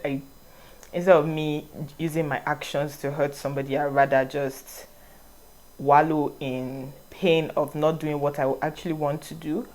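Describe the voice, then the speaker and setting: A young woman from Nigeria with a Nigerian accent, answering viewer questions in a casual vlog, reading each one aloud before she answers it. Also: low-pitched. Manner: reads questions aloud, then answers in a relaxed, rambling way. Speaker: vlogger.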